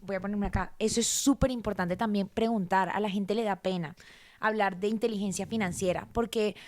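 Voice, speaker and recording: A woman, explaining personal finance in a casual, animated conversation and repeating words for emphasis. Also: mid-range voice, brisk. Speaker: guest.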